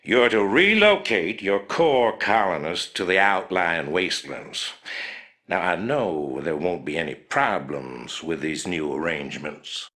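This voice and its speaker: An actor playing a pompous older general with a Southern US accent, commanding and condescending, in a video game. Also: male voice, low voice, animated, measured pace, a bit bright. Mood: official, smug. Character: pompous, domineering older male Confederate general. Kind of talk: scripted game dialogue.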